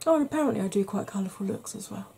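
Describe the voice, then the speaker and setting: A middle-aged woman talks in a soft, unhurried ASMR manner, chatting on about all kinds of things. Her voice is mellow and slightly husky, with a relaxed warmth. She sits close to the camera in a small room at home. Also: medium pitch. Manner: gentle, rambling, chatty. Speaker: beauty YouTuber. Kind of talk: soft-spoken ASMR makeup chat.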